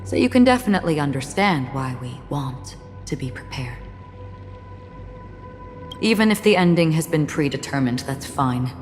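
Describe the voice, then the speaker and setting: An actress playing a stoic, philosophical swordswoman in a video game cutscene, speaking in a grave, measured, formal way. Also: low voice, expressive, darkish tone. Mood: gentle, philosophical. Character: solemn, stoic female swordswoman, cryptic and philosophical. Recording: long reverb, music behind the voice.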